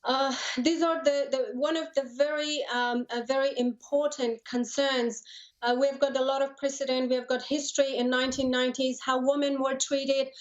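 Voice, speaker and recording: Even-toned, halting woman from Afghanistan, muffled audio. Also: high voice. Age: 30s. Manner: halting, repeats words, earnest. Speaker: interview guest, lawyer and human rights advocate. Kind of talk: live news interview.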